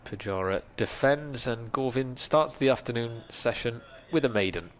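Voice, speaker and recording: A man whose first language is Welsh, giving calm, measured ball-by-ball cricket commentary. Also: mid-range voice. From Wales.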